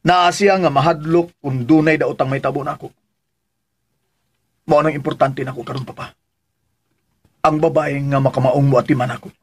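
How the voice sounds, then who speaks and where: An actor with a Filipino accent playing a stubborn, defensive grown son in a radio drama, insistent and challenging. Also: male voice, a little high, fairly even intonation, moderate pace, long pauses, slight background noise, lightly acted. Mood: earnest, determined. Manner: insistent, challenging, fires back questions.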